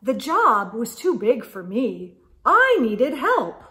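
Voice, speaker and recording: A woman's voice: fairly high pitch, wildly swooping pitch, takes it slowly, a bit bright, full character voice. A woman voicing an eager, easily exasperated Santa Claus telling his storybook tale with emphatic exclamations. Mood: dramatic recounting. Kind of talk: storybook narration in first person. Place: indoor room lined with bookshelves.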